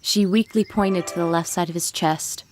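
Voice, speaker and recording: A woman's voice: fairly low pitch, fairly even intonation, normal speed. A woman reading scripted narration for a dramatic fan fiction story, with music playing behind her. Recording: music bed with birdsong behind.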